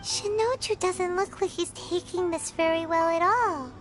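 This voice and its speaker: A woman voicing a tiny, cheerful, chatterbox fairy-like companion in a video game, given to excited exclamations. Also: very high voice, unhurried, music in the background. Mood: curious, chatty. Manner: excitable, exclaiming, refers to self by name.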